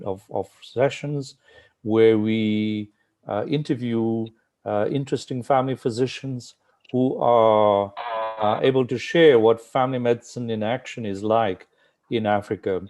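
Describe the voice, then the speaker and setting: Male interview host, medium pitch, informal and halting, feeling his way through each sentence. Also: a little mellow. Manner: halting, filler-heavy, thinking aloud. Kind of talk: informal interview, welcoming guests.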